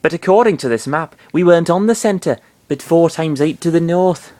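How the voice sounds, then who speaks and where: An earnest male creature companion with a rough Yorkshire accent, pitched noticeably higher than the speaker's own voice, as a man puts him on. He sounds eager and slightly strained as he explains things. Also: brisk, warm tone, natural voice.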